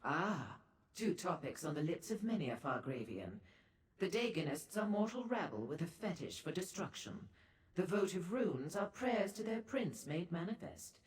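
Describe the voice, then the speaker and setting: A low, sultry demon seductress for a fantasy video game. She is theatrical and worldly, toying with the listener in ornate, patronizing phrases. Her pitch glides widely, and the voice rings with long, echoing reverb. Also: very animated, normal speed, darkish tone. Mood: wry, informative. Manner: theatrical, coy, languid purring delivery with ornate formal phrasing. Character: seductive female dark seducer Daedra merchant, worldly and condescending. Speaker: information broker and proprietor. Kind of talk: video game character dialogue.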